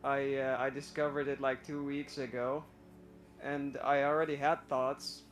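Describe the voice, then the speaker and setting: A man's voice: medium pitch, flat delivery, measured pace, faint music behind. A young man from Iraq calling in to a live stream, telling his story hesitantly with many fillers and restarts. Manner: nervous, admits stage fright. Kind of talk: casual personal story.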